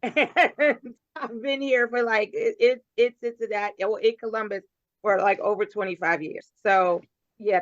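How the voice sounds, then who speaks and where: A woman's voice: mid-range voice, fairly bright tone, dry recording, muffled recording. A woman in her forties from Kentucky, a poet chatting in an interview with frequent laughs and restarts. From Covington, Kentucky. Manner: chatty, laughs often, restarts when telling a story. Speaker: guest poet. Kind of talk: casual interview talk.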